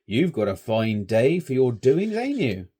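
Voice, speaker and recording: A man voicing a grave, superstitious old shepherd who talks slowly in rustic dialect. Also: mid-range voice, very animated, mellow, breathy edge, pitched above the performer's own voice.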